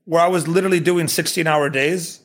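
A man's voice: fairly high pitch, steady tone, a little fast, a bit bright. A man in his thirties, English his second language, joking and stumbling over restarts in a casual podcast chat. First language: not English. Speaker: streamer guest.

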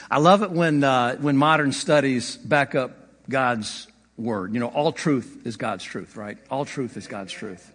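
A man's voice: mid-range voice, slow, fairly bright tone. A man preaching a sermon as pastor, conversational, with occasional slips and light laughter. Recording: long hall reverb.

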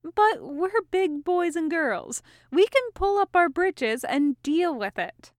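A woman's voice: very high-pitched, sing-song, slow, no echo, clean studio sound, pitched above the performer's own voice, heavily acted. Woman acting a bold, teasing pirate woman. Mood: careless, wistful. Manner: careless, teasing, commanding.

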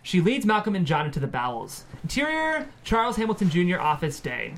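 A man's voice: high voice, wildly swooping pitch, brisk, fairly bright tone. A man reading the stage directions as narrator of a screenplay table read.

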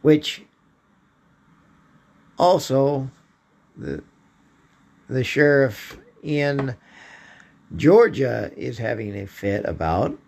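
A man with a hoarse voice, hosting a political commentary podcast in a slow, halting way, often clearing his throat. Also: medium pitch, lively intonation, darkish tone. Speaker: podcast host.

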